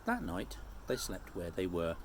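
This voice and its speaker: A man reading a children's story aloud like a teacher, in an expressive storytelling style. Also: deep voice, a little slow, background noise.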